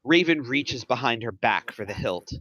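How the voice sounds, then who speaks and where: A man's voice: medium pitch, lively intonation, moderate pace, pushed and bright. A man reading stage directions at a script table read in punchy, clipped phrases. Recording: dull lo-fi sound with background noise.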